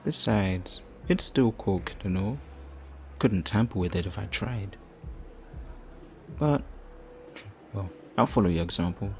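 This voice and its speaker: A man playing a flirtatious, theatrical supervillain leader in an audio roleplay, smug and righteous, with teasing, drawn-out phrasing. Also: lowish voice, faint music behind. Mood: playful, persuasive. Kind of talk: audio roleplay addressed to the listener.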